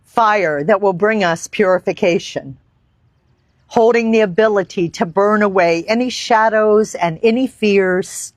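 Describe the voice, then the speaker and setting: Woman yoga teacher, unhurried and reverent, dry close sound, guiding breath and meditation. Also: on the low side, no room sound. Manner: slow, deliberate, reverent phrasing with long pauses. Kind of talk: guided meditation and yoga instruction. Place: outdoor park.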